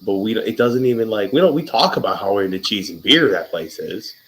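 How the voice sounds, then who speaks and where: A man's voice: lowish voice, lively intonation, fairly quick, fairly bright tone, rough recording. A man hosting an interview, chatty and joking, telling loose profane stories in a casual conversation. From Wisconsin. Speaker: interviewer, podcast host.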